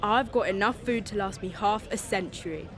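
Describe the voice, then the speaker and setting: A woman's voice: medium pitch, moderate pace, a crowd in the background, background noise, lightly acted. A young woman playing a suspicious, defiant conspiracy believer in a street interview drama. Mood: defiant, paranoid. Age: young adult. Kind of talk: vox pop acting in youth drama.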